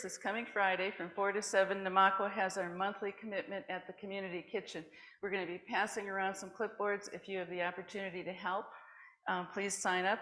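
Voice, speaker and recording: Low-voiced American woman in an echoey room. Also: a bit bright. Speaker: worship service leader giving welcome and announcements. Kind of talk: congregational welcome and announcements, partly read. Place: indoor congregation meeting room. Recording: roomy, echoing meeting space.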